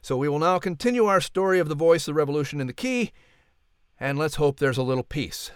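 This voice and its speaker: A man announcing and narrating a scripted podcast in an animated, theatrical way. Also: fairly high pitch, brisk, clean tone, no echo, studio quality.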